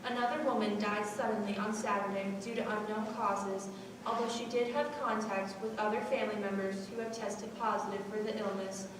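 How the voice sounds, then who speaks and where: Stage newscast: formal, serious reporter woman acting, medium pitch, heavy hall echo. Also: fairly even intonation, a little fast, close to an everyday voice. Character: serious female news reporter reading a bulletin. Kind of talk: scripted newscast within a stage play. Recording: very echoey hall, distant mic, noisy.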